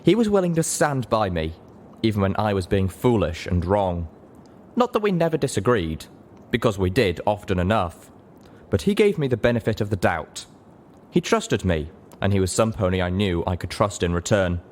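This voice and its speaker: A man narrating a scripted story as a dramatic reader, with deliberate, measured phrasing. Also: lowish voice, big pitch swings, slight background noise. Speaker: narrator, reader of a fan fiction audiobook.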